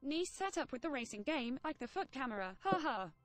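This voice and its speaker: A woman's voice: high-pitched, a little fast, dry recording, faint music behind. Synthetic female voice reading chat. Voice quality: flat synthetic reading with odd emphasis. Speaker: text-to-speech chat reader. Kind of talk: reading out live chat messages.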